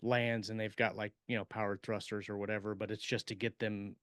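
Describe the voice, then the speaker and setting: A man's voice: on the low side, little pitch movement, fairly quick, fairly bright tone, dry recording. Man chatting casually, close dry sound. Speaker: podcast co-host and science fiction writer. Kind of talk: casual chat about writing.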